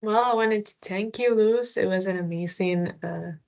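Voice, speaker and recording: A woman co-hosts a video call, thanking the guest in a polite, measured way. Her voice sits at a middle pitch. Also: creaky, darkish tone, highs cut off. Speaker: co-host.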